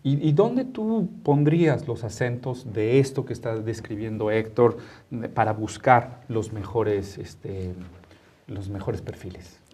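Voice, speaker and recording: A man's voice: mid-range voice, expressive, unhurried, warm tone. A man with a Mexican accent hosting a conversational panel interview, often rephrasing his questions as he goes. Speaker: interviewer, talk show host.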